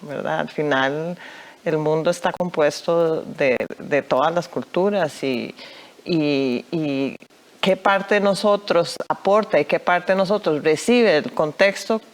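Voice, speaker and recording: A woman's voice: sits low, slow. A young Costa Rican woman, a guest artist in a TV news studio interview, speaking thoughtfully with many fillers and restarts. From Costa Rica.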